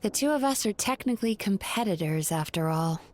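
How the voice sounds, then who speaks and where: A woman voicing a composed, gentle therapist in an anime-style game, speaking politely and with measured calm. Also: mid-range voice, a little hiss or hum, lightly acted.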